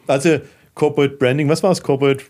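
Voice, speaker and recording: A man's voice: on the high side, little pitch movement, brisk, a little mellow. A middle-aged man from southwestern Germany talking candidly and colloquially as a guest in a casual podcast interview. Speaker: guest, filmmaker and managing director.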